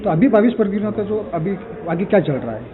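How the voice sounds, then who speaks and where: A man's voice: sits high, big pitch swings, fast, crowd noise. A man working as a reporter, putting questions politely and a little hesitantly, addressing the speaker as sir. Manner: deferential, hesitant, opens with "sir". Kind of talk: asking interview questions. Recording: tinny, phone-like with noise.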